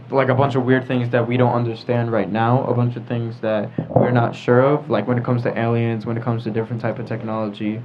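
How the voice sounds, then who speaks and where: A young American man leading a casual group discussion as a podcast host. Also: mid-range voice. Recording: muffled audio with group chatter behind.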